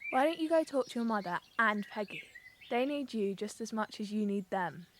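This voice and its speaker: A woman playing a caring, sensible young English wartime worker in an audio drama, gentle and reassuring. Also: medium pitch, animated, normal speed, slight background noise, natural voice.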